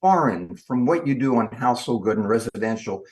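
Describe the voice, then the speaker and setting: An older man, a guest in an interview, telling lively stories with emphatic delivery. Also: mid-range voice, brisk. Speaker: guest, industry trainer. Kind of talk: storytelling in an interview.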